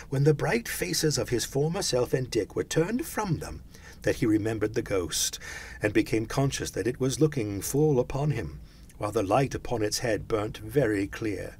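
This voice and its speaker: A man reading a classic story aloud with animated, expressive narration, stretching words for emphasis. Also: medium pitch, breathy edge. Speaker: audiobook reader.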